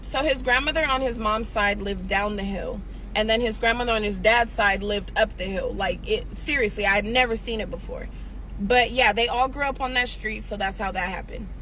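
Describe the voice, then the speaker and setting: A woman's voice: mid-range voice, normal speed, a bit bright, a little hiss or hum, poor audio. A woman, telling a personal story in a candid, chatty way and talking straight to her viewers. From San Diego, California. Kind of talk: casual story time vlog.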